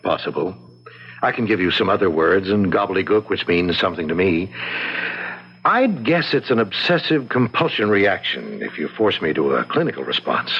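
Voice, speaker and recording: A man playing a learned, frank psychiatrist in an old-time radio drama, measured and wry, on a muffled recording. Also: low-pitched, big pitch swings, slight background noise. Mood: clinical.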